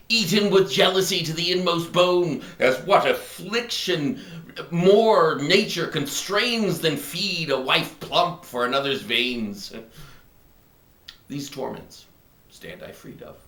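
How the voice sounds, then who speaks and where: A man playing a smug, contented kept husband in a theatrical, gleeful Elizabethan stage comedy monologue, with drawn-out phrasing. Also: mid-range voice, bright, forceful tone. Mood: relieved, gloating. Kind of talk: classical stage monologue acting. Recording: echoey room.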